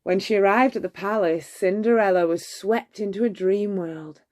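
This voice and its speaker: A woman narrating a children's bedtime story in a measured, expressive storytelling manner. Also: medium pitch. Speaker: storybook reader.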